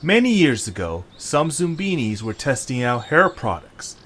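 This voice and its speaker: A man's voice: medium pitch, very animated, measured pace, a bit bright, slight background noise. A man reading scripted narration for an adventure story as its narrator.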